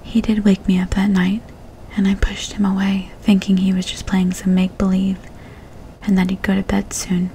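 A woman's voice: medium pitch, monotone, normal speed, a little hiss or hum, low-quality recording. Woman whispering a horror story. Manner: soft unvoiced whisper throughout. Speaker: narrator.

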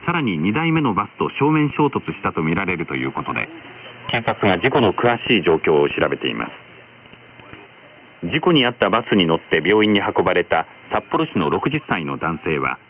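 Shortwave radio newsreader, low-voiced man. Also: very animated. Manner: formal, measured delivery. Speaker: radio news announcer. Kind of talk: scripted news reading. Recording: noisy, narrow-band shortwave radio reception. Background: radio static and noise.

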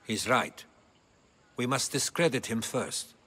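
An actor playing a grave, pragmatic Athenian adviser in a video game, sober and deliberate. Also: male voice, medium pitch, expressive, pushed and bright, breathy edge, exaggerated voice. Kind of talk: video game cutscene acting.

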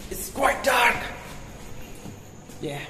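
A man's voice: extremely high pitch, sing-song, very slow, sharp tone. A man in his thirties from Nepal, chatting upbeat and directly to viewers in a casual vlog filmed on a phone held at arm's length. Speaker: vlogger. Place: streets, stairwell and gym at dawn, then a bedroom. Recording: phone audio with clear background noise.

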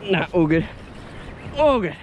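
Excited high-voiced man over loud surf. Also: unhurried. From Australia. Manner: excited, urgent, calling instructions. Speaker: fishing vlogger. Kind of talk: excited outdoor commentary. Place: ocean rock ledge outdoors. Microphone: action camera. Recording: loud wind and surf noise.

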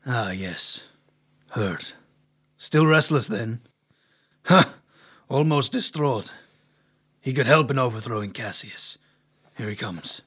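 A scheming half-bear man acted by a man in an audio drama. His voice is husky and mid-pitched. He talks in measured, conspiratorial phrases, sly and calculating. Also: lively intonation, fairly bright tone, full character voice. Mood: probing.

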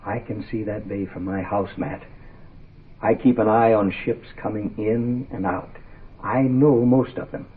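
An actor playing a grim, decisive associate of a detective in a 1960s radio drama, speaking curtly and matter-of-factly. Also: male voice, fairly low pitch, steady tone, a little slow, husky. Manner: curt, matter-of-fact. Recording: tinny vintage radio recording.

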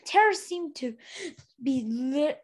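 A woman with a high voice reads a story aloud. Her narration is expressive but unpolished, with occasional stumbles and repeated words. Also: slow pace. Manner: stumbles over words and rereads them. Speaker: storybook reader.

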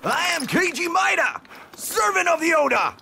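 A man's voice: very high voice, sing-song, moderate pace, gravelly, background noise, full character voice. A man playing a boisterous, carefree big samurai warrior in a video game, with a swaggering, easygoing manner. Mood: bold, rousing. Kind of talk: dramatic English video game voice acting. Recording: game cutscene with music behind.

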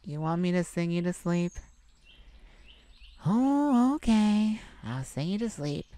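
Woman acting a sweet, slow-talking, tender boyfriend. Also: fairly low pitch, animated, no room sound. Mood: soothing, tender. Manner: tender, gently teasing and coaxing, with sighs. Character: sweet, caring young boyfriend comforting his partner. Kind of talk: intimate ASMR roleplay.

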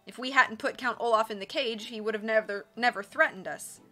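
A woman's voice: on the high side, fairly quick, sharp tone, faint music behind. A woman voicing a noncommittal, philosophical quibbler in a storybook reading. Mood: matter-of-fact. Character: quibbling professor, fence-sitting philosophical islander.

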